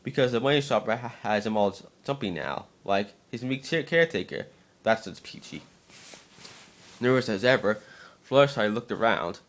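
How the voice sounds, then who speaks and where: A man narrating a scripted fan-fiction story as a dramatic reading, expressive but now and then stumbling over words. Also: lowish voice, normal speed.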